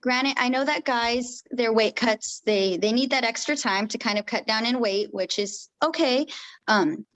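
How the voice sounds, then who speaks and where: A woman's voice: a little high, unhurried, a bit bright, poor audio. A woman boxer speaking as an interview guest, outspoken and firm.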